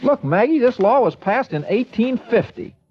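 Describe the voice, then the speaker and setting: An actor playing an earnest small-town newspaper editor, pleading and indignant, in 1940s radio acting. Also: male voice, very high-pitched, big pitch swings, normal speed. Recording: muffled old radio recording.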